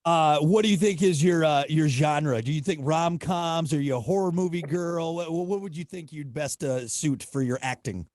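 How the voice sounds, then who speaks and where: Man, interview host, somewhat high voice, chatty, dry sound. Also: normal speed, few pauses, darkish tone, dry recording. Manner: friendly, chatty, repeats phrases. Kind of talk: casual interview chat.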